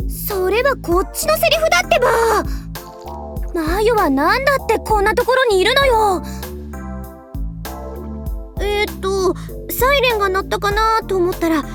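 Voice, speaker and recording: Excitable anime girl from a visual novel, super high voice, rattles it off fast. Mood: explaining. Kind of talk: Japanese visual novel voice acting. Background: game music.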